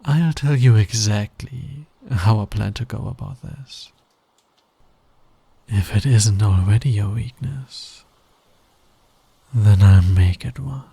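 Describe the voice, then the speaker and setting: A man playing a smug, flirty fantasy villain in an intimate ASMR roleplay, breathy and teasing, often sinking toward a whisper. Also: lowish voice, mellow, no room sound, exaggerated voice. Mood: confident, challenging. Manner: teasing, slow, deliberate, dominant. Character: flirty, smug male fantasy villain, patient, smitten with hero. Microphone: close microphone, dry sound.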